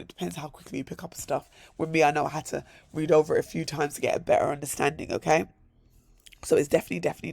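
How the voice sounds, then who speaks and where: Upbeat gravelly man. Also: a little high, a little mellow, no room sound. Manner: repeats words in threes, upbeat. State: hoarse. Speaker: crypto vlogger. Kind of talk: casual screen-share walkthrough.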